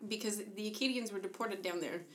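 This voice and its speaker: A woman's voice: medium pitch, quick pace. A woman co-hosting a podcast, chatting casually and telling wry anecdotes with firm opinions. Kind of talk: casual chat.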